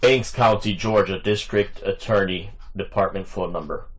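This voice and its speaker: A man's voice: lowish voice, moderate pace, background noise. A man making an argumentative, officious phone call as a caller requesting records, insistent in his manner.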